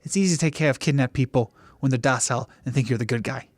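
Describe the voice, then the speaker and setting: A man's voice: medium pitch, quick pace, no echo, natural voice. A man acting a blunt, angry, commanding saboteur in a tabletop game. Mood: angry, resolute. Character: Taylor, angry, decisive saboteur ally.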